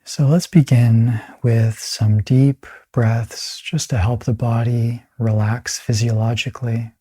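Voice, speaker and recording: A man leading a guided meditation in a calm, soothing way, with a soft, breathy voice and pauses between phrases. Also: medium pitch.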